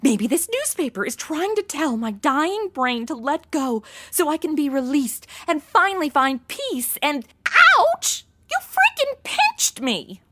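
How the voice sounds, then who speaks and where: A woman acts a young American valley-girl type in a comedy radio play. The voice is high and swoops around, blunt, casual and easily exasperated. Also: big pitch swings, measured pace, hardly any pauses, fairly bright tone, exaggerated voice. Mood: anxious, baffled. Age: young adult. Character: flighty, slangy young modern woman, easily rattled. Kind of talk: comedy audio drama acting.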